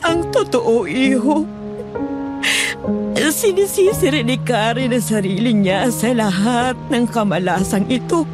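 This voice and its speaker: Woman acting a remorseful, pleading aunt over music. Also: on the high side. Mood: sorrowful. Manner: apologetic, pleading, sighing. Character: remorseful, fearful middle-aged aunt confessing family secrets. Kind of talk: dramatized horror story acting. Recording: music bed underneath.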